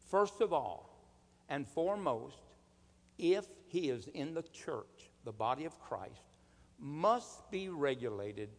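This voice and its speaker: Slow-paced male preacher in a reverberant hall. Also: mid-range voice. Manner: emphatic, deliberate, rhetorical questions and calls for amen. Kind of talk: sermon.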